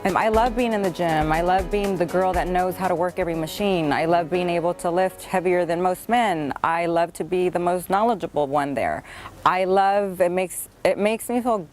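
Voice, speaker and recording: A woman's voice: fairly low pitch, normal speed, a little mellow, soft background music. A young woman who speaks Spanish and English, talking earnestly and upbeat in an audition interview, with many fillers. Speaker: reality show contestant.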